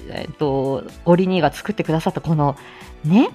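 A woman's voice: deep voice, a little fast, mellow, faint music behind. A woman hosting a live audio stream with casual announcements in a playful, giggly, polite manner.

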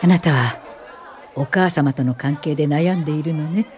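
An actress playing a gentle older woman professor of social work in a radio drama, speaking softly, politely and without hurry in a warm, encouraging way. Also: low voice, a faint crowd, lightly acted. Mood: gentle, reassuring. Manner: soft, polite, unhurried, old-fashioned feminine Japanese. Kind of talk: radio drama acting and reminiscing narration.

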